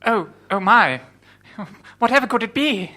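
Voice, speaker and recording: Naive, wide-eyed secretary type female improviser, forceful bright tone, very animated, deferential, for comedy radio play. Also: fairly high pitch, a little slow, exaggerated voice. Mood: wide-eyed, puzzled. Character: naive, eager female assistant to an airport boss.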